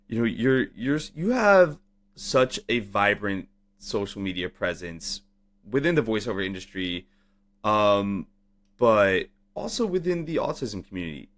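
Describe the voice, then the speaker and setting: A man's voice: lowish voice, a bit bright. A man hosting a casual, conversational interview. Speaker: podcast interviewer.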